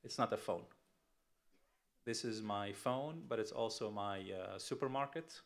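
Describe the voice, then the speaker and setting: A man's voice: lowish voice, measured pace. A middle-aged man from Tunisia, an investment firm chief speaking on a conference panel, emphatic, asking rhetorical questions with frequent fillers.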